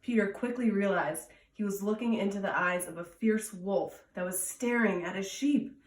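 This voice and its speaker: A woman reads a children's fable aloud in a storytelling style. Her voice sits at a middle pitch. She is recorded in a roomy home space, a bit far from the microphone. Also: normal speed. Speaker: storytime reader. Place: indoors at home. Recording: roomy echo, distant from mic.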